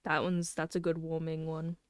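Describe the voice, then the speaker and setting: A woman's voice: low voice, completely flat delivery, moderate pace, no room sound, clean studio sound. A young woman doing casual live gameplay commentary as a streamer, playful and joking with mock-dramatic asides. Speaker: video game streamer. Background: faint to clear game music.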